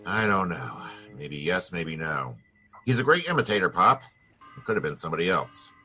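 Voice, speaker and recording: An actor playing a tough, wry private detective with clipped, wisecracking delivery, in 1940s radio noir style. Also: male voice, low-pitched, very animated, moderate pace, bright, forceful tone, faint music behind, heavily acted. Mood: grim, musing.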